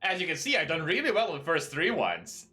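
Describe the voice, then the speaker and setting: A man's voice: fairly high pitch, wildly swooping pitch, brisk, bright, forceful tone. A man hosting a casual let's play, chatting, laughing and reading game text aloud. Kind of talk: casual gameplay commentary. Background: game music.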